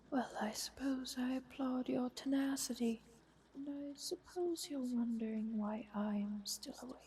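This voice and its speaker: A woman voicing a refined, haughty ancient vampire countess in an ASMR roleplay, speaking in a soft, breathy half-whisper with poised, wry, formal phrasing. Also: high voice. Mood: coolly amused. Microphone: close binaural microphone. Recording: close binaural mic with clear hiss.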